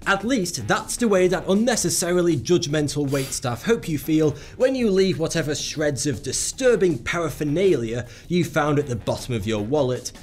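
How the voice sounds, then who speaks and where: A man's voice: a little high, expressive, moderate pace, hardly any pauses, soft background music. A man giving scripted narration for an explainer video, with a sarcastic, wry delivery.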